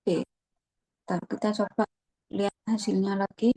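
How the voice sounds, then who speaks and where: A woman presenting a casual online tutorial, relaxed and chatty, often thinking aloud with fillers. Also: mid-range voice, moderate pace, husky, no echo, rough recording. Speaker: webinar presenter.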